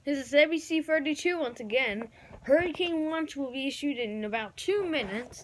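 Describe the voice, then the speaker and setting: A woman improvising mock emergency news and weather bulletins in a halting, stumbling amateur announcer delivery. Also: sits high, animated, a little hiss or hum, poor audio. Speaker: amateur video creator playing broadcast announcer.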